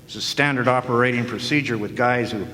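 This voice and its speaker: A man's voice: mid-range voice, fast, bright, forceful tone, slight background noise. Brief: a sardonic man with a mid-range voice, narrating noir in an echoing hall. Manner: wry, sardonic. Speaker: narrator, hard-boiled detective. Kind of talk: 1940s noir detective narration in a live radio play. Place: historic club hall, live audience performance. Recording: long echoing hall reverb.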